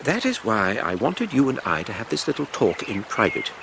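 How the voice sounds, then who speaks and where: An English actor playing a stern, self-important Victorian patriarch in a radio drama, speaking formally. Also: male voice, medium pitch, wildly swooping pitch, normal speed, vocal fry, a bit bright, slight background noise, full character voice. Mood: grave. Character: pompous middle-aged Victorian father, stern patriarch. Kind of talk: 1990s radio drama acting.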